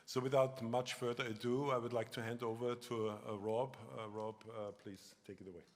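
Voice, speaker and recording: A man moderating a conference session, speaking haltingly with many fillers. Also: medium pitch, level tone, darkish tone. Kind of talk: conference presentation. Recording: reverberant conference hall.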